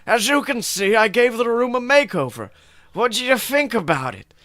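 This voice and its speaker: A man's voice: very high-pitched, big pitch swings, moderate pace, a bit bright, dry recording, voice pushed up, exaggerated voice. A man voicing a flamboyant, cheerful old headmaster who wants to be goth, in an exclamatory comic reading. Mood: happy, showy.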